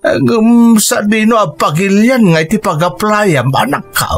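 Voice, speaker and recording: A man voicing a creaky old father. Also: high voice, animated, moderate pace, faint music behind, full character voice. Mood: reassuring. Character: elderly gentle rural father, calm. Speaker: radio drama actor. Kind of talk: Ilocano radio drama acting.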